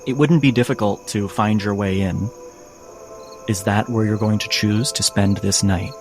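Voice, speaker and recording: Low-voiced male game master setting scenes. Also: fairly even intonation, moderate pace, over music. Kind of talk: atmospheric second-person game narration.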